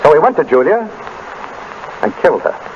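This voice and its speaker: On a muffled, noisy old radio recording, a shrewd American detective, urbane and deliberate. His fairly high voice moves with lively, theatrical inflection. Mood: measured, explaining. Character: suave, shrewd detective husband revealing a murderer at dinner. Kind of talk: 1930s radio drama acting.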